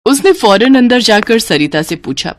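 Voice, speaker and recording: A woman's voice: on the high side, very animated, normal speed, no room sound, studio quality. A woman reading a scripted story as its narrator.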